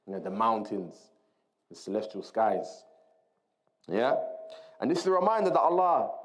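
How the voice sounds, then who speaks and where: A man's voice: on the low side, low-quality recording. A man giving an Islamic lesson as a religious teacher, explaining a text didactically, repeating key terms and mixing Arabic quotations into his English.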